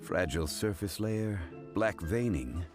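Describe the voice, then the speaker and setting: A dignified scholar in a video game, deep and measured. He is calm, formal and modest, with expressive turns in his phrasing. Faint music plays underneath. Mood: thoughtful, assured.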